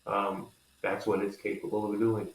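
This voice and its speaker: A man's voice: lowish voice, monotone, normal speed. A man speaking as an interviewed company president, explaining products conversationally and with enthusiasm. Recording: echoey, roomy sound.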